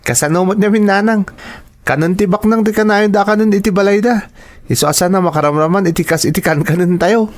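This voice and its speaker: A man's voice: high-pitched, brisk, close to an everyday voice. A man playing a friendly, caring young student from a humble home, with an Ilocano Filipino accent, in an audio drama. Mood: friendly, playful.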